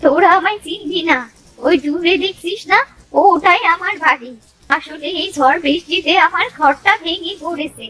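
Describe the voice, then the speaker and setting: A woman's voice: very high-pitched, normal speed, bright, forceful tone. A woman voicing a mysterious old village grandmother, coaxing and familiar, in a children's cartoon. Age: older.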